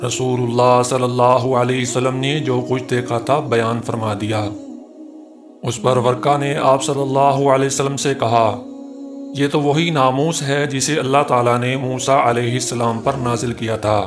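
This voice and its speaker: A man's voice: mid-range voice, little pitch movement, moderate pace. Man narrating a religious story over music. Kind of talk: scripted narration of an Islamic story. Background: music behind the voice.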